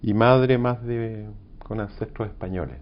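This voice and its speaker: A middle-aged man from Chile, raised in France, recalling his life in a halting, reflective way and repeating short phrases. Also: fairly low pitch, muffled recording, slight background noise. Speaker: interview guest, doctor. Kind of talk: reflective personal recollection.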